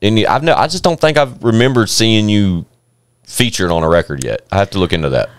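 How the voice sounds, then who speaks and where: A man's voice: lowish voice, animated, a little fast, no room sound. A man hosting a casual podcast, laid-back. He swears freely and uses lots of fillers. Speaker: podcast host.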